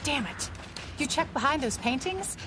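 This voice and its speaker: A woman playing a shrewd, defiant amateur sleuth in an audio drama, brisk and skeptical. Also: high-pitched, animated, over music. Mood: urgent, wary.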